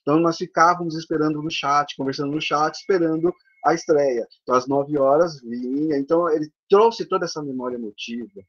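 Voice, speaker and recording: A man's voice: fairly high pitch, brisk, hardly any pauses, fairly bright tone. Chatty Brazilian man on a muffled call. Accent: Brazilian Portuguese. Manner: chatty, peppered with tag questions. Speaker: theatre teacher for older adults. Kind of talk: conference presentation over slides. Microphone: video call. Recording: dull lo-fi online call audio.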